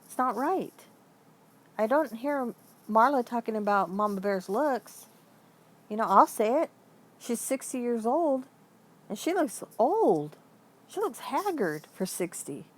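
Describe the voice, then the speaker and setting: A woman's voice: fairly high pitch, expressive, takes it slowly, pauses often. Woman venting in casual, emphatic talk. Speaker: online video commentator. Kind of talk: casual opinion vlog, venting.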